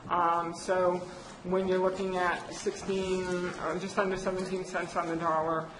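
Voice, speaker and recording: A woman presenting a county budget update at a public board meeting, halting with many fillers and restarts. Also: fairly low pitch, little pitch movement. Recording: echoey chamber with air conditioner noise.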